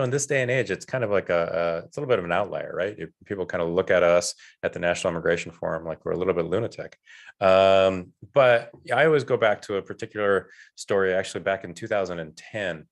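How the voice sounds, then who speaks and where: Deep-voiced man speaking earnestly on a panel. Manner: earnest, halting restarts. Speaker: panel guest, advocate. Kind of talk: panel discussion. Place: event stage.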